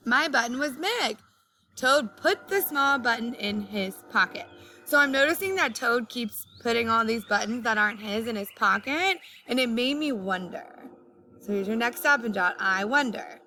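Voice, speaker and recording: A woman reading a storybook aloud like a teacher, speaking clearly to young listeners. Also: a little high, moderate pace, bright, forceful tone, slight background noise. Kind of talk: children's storybook read-aloud.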